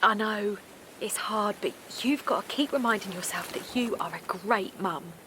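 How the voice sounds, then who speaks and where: A woman's voice: medium pitch, moderate pace, background noise, natural voice. An actress playing a supportive, anxious woman in a naturalistic audio drama, speaking earnestly and plainly. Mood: warm reassurance. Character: Linda, a supportive, anxious woman and loyal friend. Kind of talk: naturalistic audio drama acting, with dialogue and narration.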